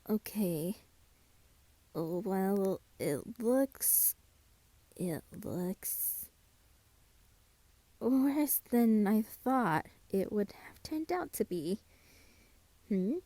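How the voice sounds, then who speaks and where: A woman playing a self-doubting friend in a scripted roleplay, speaking softly and hesitantly at an unhurried pace. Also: mid-range voice, dry recording. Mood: eager. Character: soft-spoken, self-doubting friend carving Halloween pumpkins.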